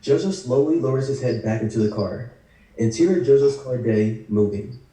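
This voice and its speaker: Male script narrator, scripted action lines, very dark timbre, roomy and off-mic. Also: mid-range voice, normal speed. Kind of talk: reading screenplay action lines at a table read. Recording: very echoey room, distant mic.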